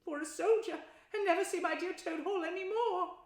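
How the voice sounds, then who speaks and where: A woman voicing a boastful, excitable male toad in a loud, shrill, bragging way. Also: moderate pace. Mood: sobbing. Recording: roomy echo.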